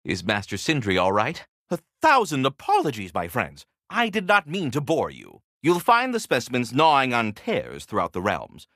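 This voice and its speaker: A man's voice: mid-range voice, wildly swooping pitch, normal speed, few pauses, a bit bright, dry recording, clean studio sound. A man voicing a polite, fussy magical squirrel from a video game, speaking in courtly, wordy, butler-like formality. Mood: grieved, apologetic, then courteous, earnest. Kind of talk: theatrical video game character dialogue.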